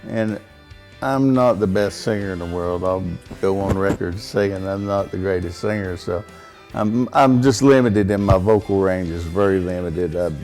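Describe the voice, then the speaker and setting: A man with a deep baritone voice talks in a relaxed, rambling way, stopping and restarting his sentences often. He sounds plainspoken and unhurried, and faint guitar music plays behind him. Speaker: songwriter guest. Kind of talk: casual conversational interview.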